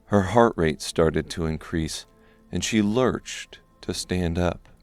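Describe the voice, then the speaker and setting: A man reading scripted narration for a dark fantasy audiobook. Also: low voice, slow, clear, resonant tone, dry recording, faint music behind.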